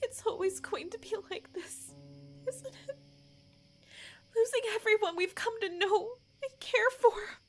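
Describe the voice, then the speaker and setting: A woman voicing a gentle, long-lived wife with a soft, tender, plaintive way of speaking in a fan drama dub. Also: extremely high pitch, measured pace. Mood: tearful grief. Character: gentle grieving wife, long-lived, mourning lost friends. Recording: music bed with plucked guitar.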